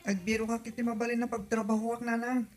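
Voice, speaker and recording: A woman's voice: on the high side, flat delivery, fast, faint music behind, natural voice. A woman playing a dutiful young adult daughter in an audio comedy drama, speaking with an Ilocano Filipino accent. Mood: patient, dutiful.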